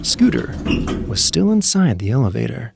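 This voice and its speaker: A man narrating a scripted comedic story in an expressive, theatrical way, with music playing behind him. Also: medium pitch, normal speed, gravelly, noisy background. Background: background music.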